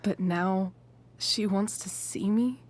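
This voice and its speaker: A woman's voice: mid-range voice, takes it slowly. A woman playing a wounded, searching teenage daughter in a video game drama, speaking in a hesitant, questioning way. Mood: shaken, questioning. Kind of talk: dramatic game cutscene acting.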